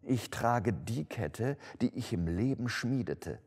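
A man's voice: lowish voice, animated, slow pace, darkish tone, slightly rough, pitched above the performer's own voice, full character voice. A man voicing a stern, doomed male ghost in chains for a storybook, solemn, declamatory and lamenting. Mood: bitter, menacing. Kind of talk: dramatic storybook reading. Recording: long hall-like reverb.

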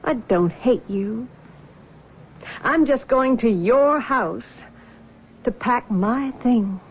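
An actress playing a grieving, troubled young daughter in a vintage radio drama, earnest and hesitant. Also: female voice, on the high side, animated, very slow, exaggerated voice. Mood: earnest, resolved. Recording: dull, noisy 1970s radio recording.

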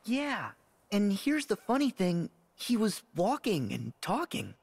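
A man's voice: high-pitched, wildly swooping pitch, a little slow, vocal fry, darkish tone, slightly rough, no room sound, exaggerated voice. A man playing an earnest, eager, friendly teenage boy hero in a game, boyish, curious and sincere. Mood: puzzled. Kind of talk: video game cutscene acting.

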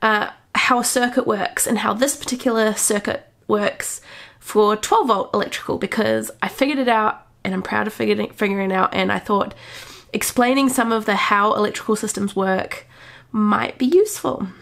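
A woman's voice: mid-range voice, moderate pace, gravelly, hardly any pauses. A woman in her thirties, congested from the flu, chatting casually as she explains wiring in a van she is converting, filmed up close on a handheld camera. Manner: chatty, self-taught, excitable. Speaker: van-build vlogger. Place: inside a van under construction. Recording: guitar music playing underneath.

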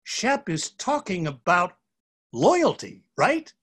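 An eager little storybook inchworm , acted out by a man, curious and cheerful. The pitch jumps up high and moves in lively swoops. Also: slow pace, slightly rough, full character voice.

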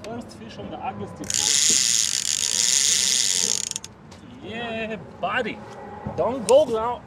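A man's voice: high voice, lively intonation, takes it slowly. A man on a small boat, cheering on the fish he hooks and talking to his viewers. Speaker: fishing vlogger. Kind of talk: excited commentary while fishing. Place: small fishing boat on a river, outdoors. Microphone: camera mounted on the boat. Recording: noisy outdoor boat recording. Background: traffic and outdoor noise.

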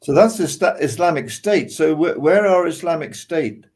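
A man's voice: a little high, lively intonation, normal speed, darkish tone. A man talking conversationally as a panelist on a video call. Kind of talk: conversational discussion.

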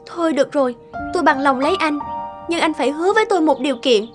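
A woman voicing a gentle, secretive young village wife in an animated folktale, soft-hearted and coy. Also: high-pitched, fast talker, natural voice. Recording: loud music behind the voice.

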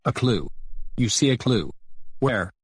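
A friendly young male children's host played by a man, curious and helpful. His voice is slightly husky and sounds dry and close. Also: medium pitch, big pitch swings, measured pace, dry recording, full character voice. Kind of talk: children's show dialogue, addressing viewers.

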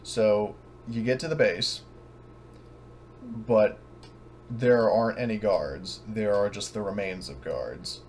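A man narrating and refereeing a tabletop game as game master, halting and often correcting himself, over an online voice chat. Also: on the low side, a little mellow. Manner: halting, hedging, self-correcting. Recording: noisy online voice chat recording.

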